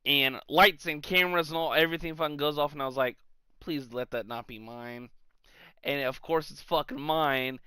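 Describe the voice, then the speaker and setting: A man co-hosting a podcast, telling comic anecdotes in a casual, animated, sweary way. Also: on the high side, normal speed, clear, resonant tone, no echo. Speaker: podcast co-host.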